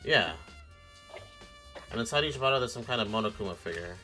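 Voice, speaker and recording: A man's voice: mid-range voice, animated, a little fast, sharp tone, soft background music. A man streaming a game with casual commentary, reasoning aloud in a blunt, speculative way with casual swearing. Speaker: streamer playing a mystery game.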